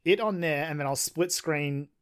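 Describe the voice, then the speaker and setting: A man's voice: fairly high pitch, normal speed, a bit bright, smooth. A young man co-hosting a casual gaming podcast, rambling and self-deprecating, laughing often. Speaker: podcast co-host.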